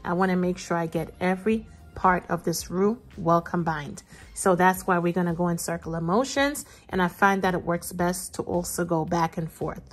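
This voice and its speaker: A woman's voice: on the low side, moderate pace, faint music behind. A woman narrating a recipe casually as a cooking host, chatty and playful, talking straight to viewers.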